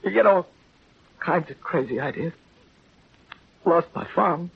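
An actor playing a bitter, overwrought, impoverished farmer in a 1930s radio drama, his voice hoarse and raspy. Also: fairly high pitch, lively intonation, measured pace, exaggerated voice. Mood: broken, ashamed. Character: desperate poor farmer who lost his farm, bitter, overwrought. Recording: muffled old 1930s radio recording.

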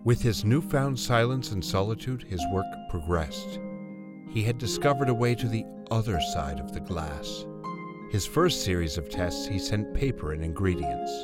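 A man's voice: lowish voice, animated, moderate pace, lightly acted. A man narrating a fairy tale in a solemn, theatrical storytelling manner. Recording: loud music under narration.

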